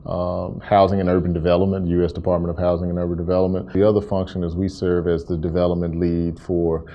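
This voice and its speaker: An American man in his thirties from Memphis, giving measured, earnest interview answers as a city official. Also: deep voice, flat delivery, dull audio, a little hiss or hum. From Memphis, Tennessee, USA. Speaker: interview guest, city housing and community development director.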